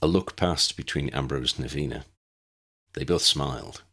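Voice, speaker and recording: A man with a gravelly, husky voice reading scripted story narration. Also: extremely low pitch, moderate pace, fairly bright tone. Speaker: narrator, audiobook reader.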